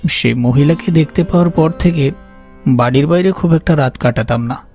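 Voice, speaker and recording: A man's voice: on the high side, moderate pace, over music, lightly acted. A man acting as a haunted, guilt-ridden young man, narrating his horror story in a brooding, fearful way. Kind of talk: scripted dramatic horror narration.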